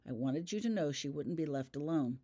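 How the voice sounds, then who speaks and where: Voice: mid-range voice, animated, a little fast, warm tone, close to an everyday voice. A narrator voicing a commanding adult male wizard in a cold, abrupt manner. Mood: brisk, polite.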